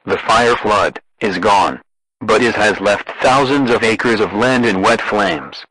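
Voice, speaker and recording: Bright, low-pitched deadpan male alert announcer. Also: slow, husky, no echo, rough recording. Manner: deadpan, formal. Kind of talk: scripted emergency broadcast narration.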